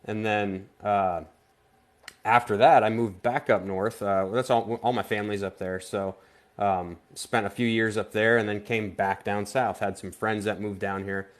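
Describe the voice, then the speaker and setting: A man from Vermont, a guest artist on a casual live-stream interview, speaking in a halting way with frequent restarts. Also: lowish voice, fairly even intonation. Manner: halting, stops and restarts often.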